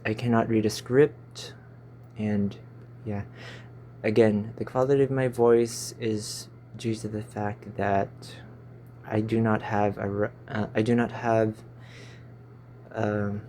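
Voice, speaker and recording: Rambling young Filipino man, low mellow voice. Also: little pitch movement, slow, a little hiss or hum. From Philippines. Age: 20s. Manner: stumbling, fumbling over words. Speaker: YouTuber. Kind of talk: casual rambling vlog chat. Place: small stuffy room. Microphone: phone.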